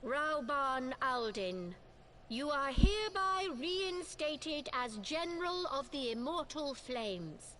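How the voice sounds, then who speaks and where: An actress voicing a composed, dignified noblewoman leader in a video game, speaking in a formal, measured, commanding way. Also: sits high, sing-song, fairly bright tone, a little hiss or hum, heavily acted. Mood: solemn. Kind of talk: video game cutscene acting.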